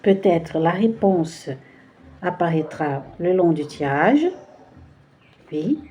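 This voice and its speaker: A woman's voice: lowish voice, slow pace, dark tone, slight background noise. A woman from Brazil speaking French with a Brazilian Portuguese accent, giving a casual card-reading video and often hesitating to find the right words. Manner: hesitant, searching for words with many fillers. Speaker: tarot card reader.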